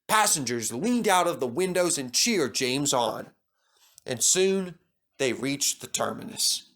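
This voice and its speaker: A man narrating a children's story from a script in an expressive, dramatic storytelling manner. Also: medium pitch, slow. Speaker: storybook reader.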